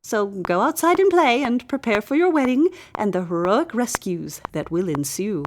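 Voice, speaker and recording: A woman's voice: medium pitch, lively intonation, normal speed, natural voice. Woman putting on a stately, imperious storybook queen. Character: regal, exacting queen mother, grandly doting on her son. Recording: clear background noise.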